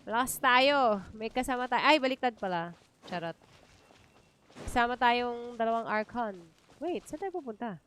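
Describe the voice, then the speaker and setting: A woman's voice: on the high side, lively intonation, moderate pace, fairly bright tone, a little hiss or hum. A woman with a Filipino accent giving casual livestream commentary while she plays a video game. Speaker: game streamer. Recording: game music behind the voice.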